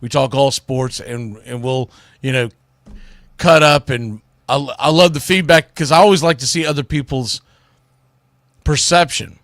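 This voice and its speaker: A man's voice: mid-range voice, measured pace, a bit bright, dry recording, clean studio sound. A man hosting a solo sports talk show, giving commentary in an informal, opinionated way.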